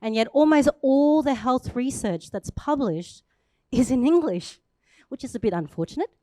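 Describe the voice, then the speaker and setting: A woman in her forties, from Thailand and a native Thai speaker, delivers a lively startup pitch on stage in a fairly high voice. She is chatty and upbeat and laughs at herself along the way, and the sound is dry and close. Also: moderate pace, dry recording.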